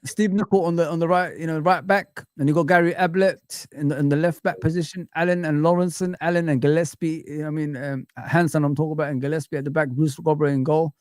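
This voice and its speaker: A man from Africa chatting casually as a football pundit on a fan livestream, reminiscing in an animated way and often adding "you know what I mean". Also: a little high, fast talker, hardly any pauses, no echo, clean studio sound.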